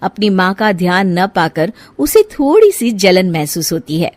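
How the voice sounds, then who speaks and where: A woman's voice: on the low side, very animated, a little slow, darkish tone, dry recording. A woman narrating a moral story from a script as storyteller.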